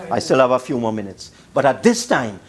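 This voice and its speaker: A man's voice: mid-range voice, sing-song, a little fast. A man with a Trinidadian accent giving an emphatic parliamentary speech, repeating phrases for stress. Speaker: government minister speaking in parliament.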